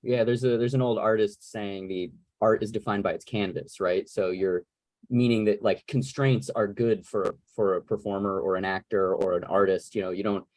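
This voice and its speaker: A man with a slightly low voice moderates a casual online Q&A. He is reflective and articulate, and his sound is close and very dry, free of room echo. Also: steady tone, moderate pace, a little mellow. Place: video call.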